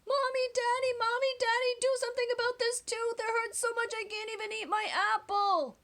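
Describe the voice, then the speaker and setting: A woman voicing a small boy who yells and pleads about a sore loose tooth in a storybook reading. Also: steady tone, brisk. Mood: yelling in pain. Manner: high, yelling and pleading.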